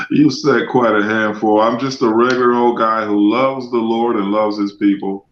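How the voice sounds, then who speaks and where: A man's voice: fairly low pitch, fairly even intonation, moderate pace. A man, a pastor and team leader, opening a panel with rambling remarks and fillers. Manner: warm-up remarks with fillers and restarts. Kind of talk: panel introduction. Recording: echoey, dull lo-fi sound.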